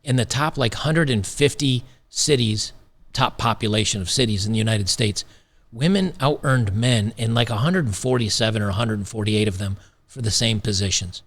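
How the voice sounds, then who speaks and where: A man's voice: on the low side, moderate pace, fairly bright tone. A man hosting an opinionated commentary show, emphatic and sarcastic, repeating phrases for effect, his voice a little worn today. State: slightly losing his voice. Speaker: commentary channel host.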